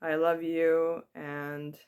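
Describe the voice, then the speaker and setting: Slow, hesitant woman sharing reflections. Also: low voice, level tone, darkish tone, smooth. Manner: slow, hesitant, many ums. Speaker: spiritual vlogger. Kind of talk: personal spiritual reflection.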